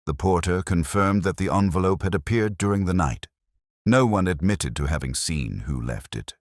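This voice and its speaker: A man reading a first-person mystery story in a measured, reflective way. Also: low-pitched, dry recording, studio quality. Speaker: narrator.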